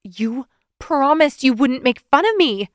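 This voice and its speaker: A flustered, heartbroken young woman, as a female narrator puts her on, pushed higher and tighter than the reading voice, blurting her feelings in teary rushes. close, dry sound with no room. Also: animated, a bit bright, no room sound. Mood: upset. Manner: emotional, tearful outbursts.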